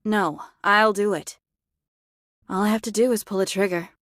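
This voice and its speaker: A woman's voice: mid-range voice, fairly even intonation, moderate pace, fairly bright tone, dry recording, studio quality, close to an everyday voice. An actress voicing a blunt, matter-of-fact young female sharpshooter in a video game, practical and understated. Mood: stoic, resigned. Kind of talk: dramatic game voice acting.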